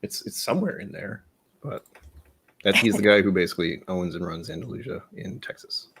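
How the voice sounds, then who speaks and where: A man's voice: low-pitched, animated, brisk, background noise. A man hosting a whiskey tasting livestream, chatting casually in a jokey, rambling, self-deprecating way. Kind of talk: casual chat.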